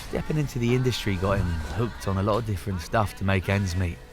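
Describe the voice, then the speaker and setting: An actor playing a polite, reserved young boyfriend in an audio drama, dry and deferential in manner. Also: male voice, on the low side, fast, close to an everyday voice. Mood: guarded, frank. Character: polite, guarded young boyfriend meeting girlfriend's father.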